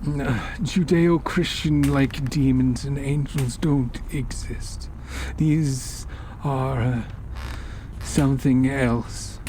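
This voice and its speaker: Faint noise sits behind a man playing an older occultist gentleman from a horror tale. His voice is mellow and very unhurried, at a middling pitch. He is polite and condescending, lecturing with quiet menace. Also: steady tone. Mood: solemn lecturing. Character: genteel, sinister older male occultist with walking cane. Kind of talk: horror story character dialogue.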